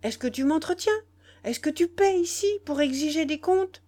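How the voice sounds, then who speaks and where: Brash young kept woman played by female reader, high voice, impatient, scornful, slangy audiobook dialogue. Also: expressive, a little slow, a little mellow. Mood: angry, impatient. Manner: exclamatory, scolding, slangy.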